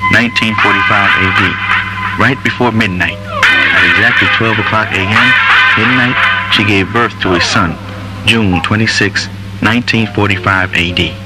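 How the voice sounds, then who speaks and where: A man narrating a birth story in a measured, solemn reading. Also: lowish voice, steady tone, loud music behind the voice, poor audio. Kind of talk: reading a story passage aloud.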